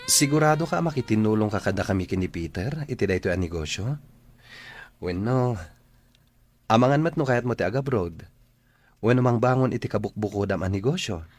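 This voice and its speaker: An actor with an Ilocano accent playing an earnest, grateful young son in a radio drama. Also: male voice, fairly low pitch, lively intonation, a little fast, pauses often. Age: young adult.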